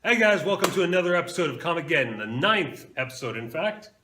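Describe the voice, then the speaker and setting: A man's voice: a little high, very animated, fast talker, pushed and bright. A man hosting a geek web show, presenting in a casual, conversational style. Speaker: host of a comics web show. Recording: noisy amateur video recording.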